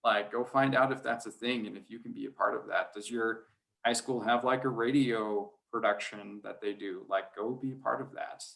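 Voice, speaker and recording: A man's voice: medium pitch, steady tone, normal speed, vocal fry, fairly bright tone, poor audio. Conversational man, casual interview talk. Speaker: high school sports radio play-by-play announcer.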